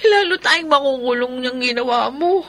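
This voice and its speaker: A woman's voice: high voice, lively intonation, normal speed, a bit bright, a little hiss or hum. A Filipino actress playing a frightened, reproachful servant woman in a radio drama, plaintive and anxious. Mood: horrified, reproachful.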